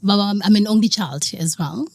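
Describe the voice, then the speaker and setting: A woman's voice: mid-range voice, normal speed, vocal fry, no room sound. A young South African woman telling a personal story in an interview, earnest, slipping Zulu phrases into her English. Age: young adult. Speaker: guest.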